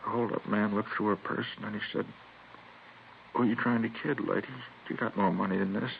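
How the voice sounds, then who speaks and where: Shaken injured teacher, American, thirties, gravelly lowish voice, halting, earnest, vintage radio drama, thin crackly sound. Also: male voice, fairly even intonation. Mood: distressed. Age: 30s. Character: wounded, shaken male schoolteacher, thirties, robbery victim. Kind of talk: 1950s radio drama acting. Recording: tinny, noisy vintage radio recording.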